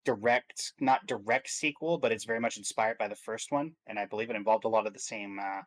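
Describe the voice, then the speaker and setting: A man's voice: on the low side, fairly quick. A man co-hosting a stream, chatting casually about video games and thinking aloud as he goes. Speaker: stream co-host.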